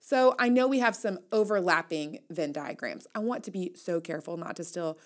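A woman's voice: on the low side, moderate pace. A woman hosting an audio newsletter, reading her casual commentary aloud in a wry, playful, conversational way.

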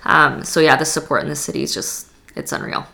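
A young woman from Poland speaks as a podcast guest. Her voice sits very low, with a gravelly, bright edge. She talks in a loose, chatty way, full of fillers and restarts. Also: fairly even intonation, fairly quick. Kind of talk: casual interview chat.

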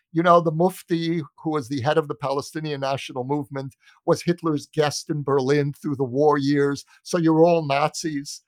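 A man's voice: fairly high pitch, no echo. A man from the United States speaking as an interview guest, making an earnest conversational argument with emphatic, repeated phrasing. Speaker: interview guest, journalist and author.

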